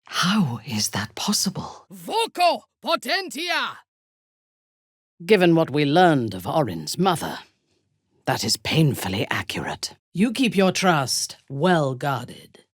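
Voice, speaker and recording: A ruthless female drow warrior for a fantasy video game. Her voice is low and husky, delivered slowly and with haughty, formal diction. The intonation moves widely, from cold seduction to imperious command. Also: wildly swooping pitch, dry recording, studio quality, heavily acted. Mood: hushed, intimate, then commanding incantation. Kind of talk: video game character acting.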